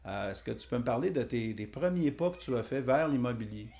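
A man's voice: medium pitch, expressive, fairly quick, darkish tone. A man with a Québécois French accent hosting an interview, asking questions with many hesitations and fillers. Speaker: podcast host. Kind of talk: podcast interview.

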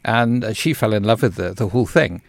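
A man's voice: fairly low pitch, brisk, creaky, no echo. A man hosting a radio interview, chatting in a conversational way. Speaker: radio host. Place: radio studio.

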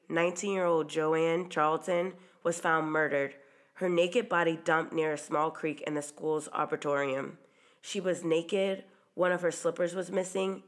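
Low-voiced woman with a stuffy cold. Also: fairly bright tone. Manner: casual, chatty reading with occasional ums. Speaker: podcast host. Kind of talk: true crime podcast narration.

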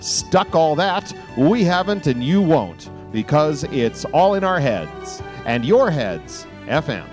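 A man hosting a radio show, reading scripted satirical narration in a measured, mock-serious announcer's delivery. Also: fairly high pitch, big pitch swings. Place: live stage radio broadcast. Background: music.

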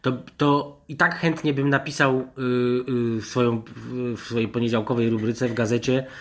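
A man discusses football as a podcast panellist. His voice sits at a middling pitch. He talks in a digressive, halting way, often restarting and rephrasing his point. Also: little pitch movement, fairly bright tone. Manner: halting, rambling, digressive with self-corrections. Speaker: sports journalist and podcast panellist.

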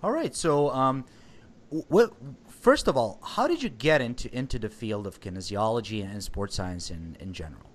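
A man hosting a casual podcast interview over an online call, speaking in a hesitant, halting way. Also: mid-range voice, animated. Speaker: podcast host.

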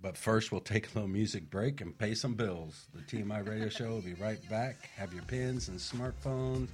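A man's voice: lowish voice, normal speed, husky. A man hosting a radio show from a studio, enthusiastic and announcer-like.

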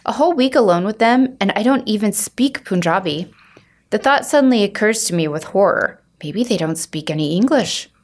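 A woman reading a children's book aloud as an expressive storyteller, acting out the dialogue. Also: mid-range voice, moderate pace.

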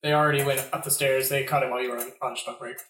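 A man playing a brisk crewman who prompts the narrator in an improvised comedy radio play. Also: mid-range voice, flat delivery, a bit bright, lightly acted. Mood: impatient prompting. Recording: distant, very noisy, echoey room.

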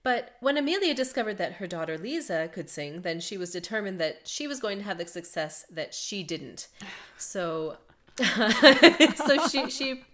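A woman's voice: mid-range voice, expressive, a little slow, a bit bright. A woman hosting a podcast, a mezzo-soprano telling a composer's life in a chatty way, laughing often between facts. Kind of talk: conversational podcast storytelling.

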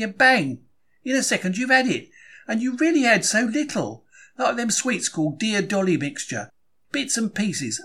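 Animated, husky cheeky 1930s bookseller diarist read by a man. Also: a little high, exaggerated voice. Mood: opinionated, chatty. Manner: colloquial, exclamatory, opinionated. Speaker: reader of a diary. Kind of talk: expressive scripted audiobook-style reading.

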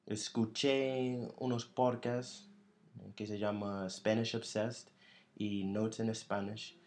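Man, low voice, slow, halting, restarts phrases, casual interview. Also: little pitch movement, a little mellow. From United States. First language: English. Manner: halting, searching for words with many restarts. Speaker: podcast co-host.

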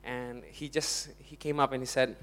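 A young man from Indonesia, preaching a lively, jokey sermon to a congregation and laughing often. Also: medium pitch, normal speed.

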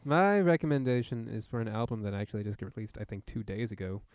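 A man's voice: on the low side, animated, fast talker, a little mellow, slight background noise. A man co-hosting a podcast in casual chat, with a wry, joking manner. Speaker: podcast co-host.

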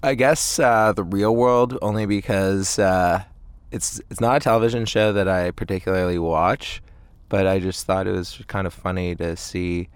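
A man's voice: low voice, steady tone, normal speed, smooth, no room sound. A man, an indie band frontman, chatting casually as an interview guest in a hesitant, meandering way. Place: inside a parked tour van.

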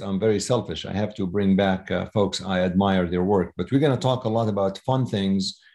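A man's voice: fairly low pitch, mellow. A man hosting a podcast interview, chatty and effusive, with loose rambling phrasing. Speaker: podcast host.

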